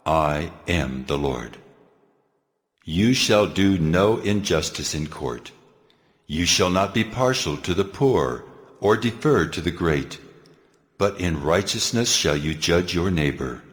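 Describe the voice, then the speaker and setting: A man playing God in a dramatized scripture reading, solemn and deliberate as he declares laws. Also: sits low. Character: God, solemn commanding male deity giving laws. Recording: long cathedral-like reverb, music underneath.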